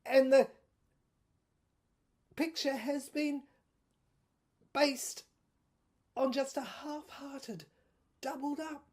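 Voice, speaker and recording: A woman's voice: high-pitched, steady tone, extremely slow, pauses often, a little mellow, voice pushed up. Woman acting an insistent, argumentative rebel soldier. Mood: insistent, agitated.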